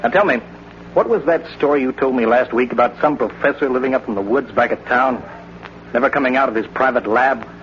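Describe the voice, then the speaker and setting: A man's voice: mid-range voice, brisk, natural voice. An actor playing a pushy, cynical male newspaper reporter, brash and wisecracking, in a vintage radio drama. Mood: brisk, probing. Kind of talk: 1940s-50s radio drama acting. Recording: dull, lightly noisy vintage radio recording.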